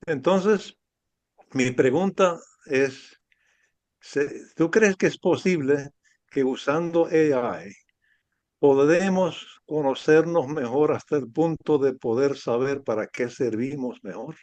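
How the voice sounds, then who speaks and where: A man from the audience asking a question in a measured, thoughtful way. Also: on the high side, darkish tone, no echo, highs cut off.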